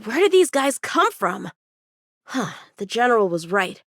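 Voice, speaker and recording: A woman's voice: fairly high pitch, very animated, measured pace, music in the background. A woman playing an eager, proud teenage boy swordsman in a video game, chatty, cocky and earnest. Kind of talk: English video game voice acting.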